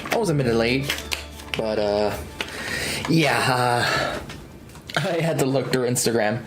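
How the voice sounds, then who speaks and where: A woman's voice: very deep voice, measured pace, noisy background. A woman livestreaming a game in casual, slangy, rambling chat with frequent exclamations. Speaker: livestream host, gamer.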